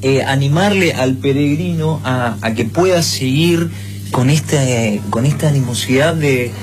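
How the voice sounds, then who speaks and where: Reflective radio co-host, halting. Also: male voice, medium pitch, faint music behind. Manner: reflective, halting, searching for words. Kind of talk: casual radio chat.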